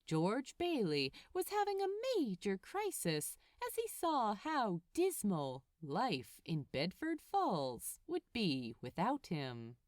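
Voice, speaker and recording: A woman reading a story aloud as narrator, with expressive, deliberate phrasing. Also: medium pitch, no echo, studio quality.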